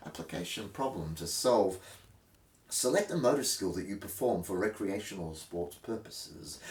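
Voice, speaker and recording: A man giving a melodramatic dramatic reading, with swelling emphasis that drops away into hushed phrases. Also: on the low side. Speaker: host reading a textbook aloud. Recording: roomy echo, distant microphone.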